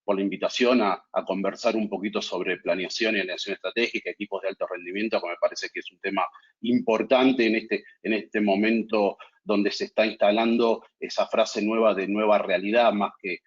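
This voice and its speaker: Low husky Argentine man on a call. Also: fairly even intonation, muffled recording. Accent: Argentine Spanish. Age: middle-aged. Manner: measured, halting with many repeated words. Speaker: panelist. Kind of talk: webinar panel introduction.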